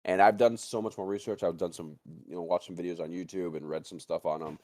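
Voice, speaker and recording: A man co-hosting a podcast in casual, opinionated chat, rambling and excitable, swearing freely. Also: sits low, quick pace, no echo. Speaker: podcast co-host.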